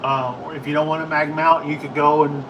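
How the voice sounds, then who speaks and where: American guy with kinda high voice rambling casually on a stream, bit far from the mic, steady noise behind. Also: highs cut off. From United States. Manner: rambling, informal, halting. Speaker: livestream host, ham and CB radio hobbyist. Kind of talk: casual livestream chat answering viewer questions.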